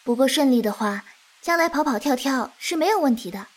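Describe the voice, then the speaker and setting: A woman playing a gentle, patient young martial arts disciple in a Chinese period audio drama, soft-spoken and earnest. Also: high voice, animated, brisk. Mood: calm, concerned.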